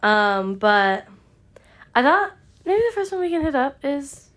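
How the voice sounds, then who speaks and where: A woman's voice: high voice, big pitch swings, a little slow, a bit bright. A young woman with a stuffy, congested voice co-hosting a casual book club podcast, chatty and full of filler words. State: congested from a sinus infection. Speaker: podcast co-host.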